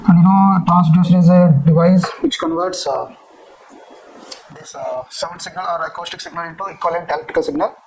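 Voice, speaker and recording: A male teacher speaks in a high, mellow voice. He explains step by step in a halting way, often repeating his phrases. A little background noise sits behind him. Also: fairly even intonation, rough recording. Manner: halting, repetitive delivery. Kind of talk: explanatory technical lecture.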